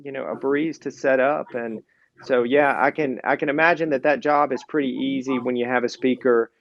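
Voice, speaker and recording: A man's voice: medium pitch, steady tone, moderate pace. A man interviewing someone in a casual, conversational way, building up gradually to his questions, on a slightly muffled recording. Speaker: interviewer, audio magazine editor.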